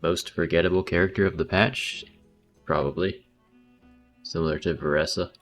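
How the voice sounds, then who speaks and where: A man's voice: deep voice, fairly even intonation, moderate pace, fairly bright tone. A man chatting casually on a game livestream in a laid-back, slangy way, muttering asides. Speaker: game streamer. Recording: background game music. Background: video game music.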